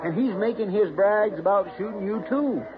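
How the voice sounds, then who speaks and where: An actor playing a nervous, stammering deputy helper in an old radio western drama. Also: male voice, high-pitched, moderate pace, a crowd in the background, natural voice. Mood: flustered. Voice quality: stammering, flustered. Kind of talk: 1950s radio drama acting. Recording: tinny old radio broadcast.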